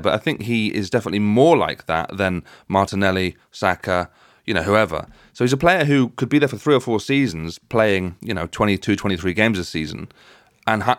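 A man's voice: fairly low pitch, expressive, brisk, no echo, studio quality. A man hosting a casual football discussion, making emphatic points and repeating phrases to build them up. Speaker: football podcast host.

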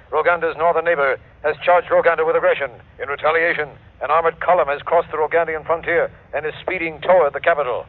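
Male actor as urgent male newscaster, fairly high voice, clipped formal delivery, tinny vintage radio drama sound. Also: natural voice. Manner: clipped, formal, emphatic announcing.

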